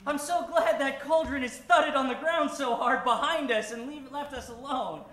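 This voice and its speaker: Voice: high-pitched, moderate pace, a bit bright. An actor playing an eager, gushing young boy sidekick in an old-time radio adventure parody. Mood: awed, eager. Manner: gee-whiz, gushing. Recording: roomy live stage sound, faint music.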